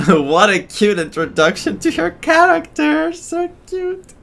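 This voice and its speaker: A man's voice: very high voice, huge pitch swings, slow, creaky, fairly bright tone, faint music behind. A man giving casual, amused, reactive commentary as he plays through a video game. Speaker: gaming streamer.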